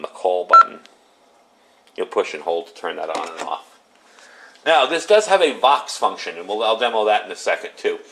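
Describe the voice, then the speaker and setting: Male product reviewer, medium pitch, casual and conversational, explains things plainly without a script.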